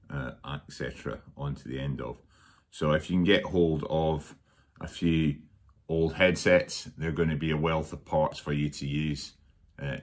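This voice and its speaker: A man giving an informal hands-on tutorial indoors at home, speaking in a hesitant, matter-of-fact way. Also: very deep voice. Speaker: DIY workshop presenter. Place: indoors at a dining table at home.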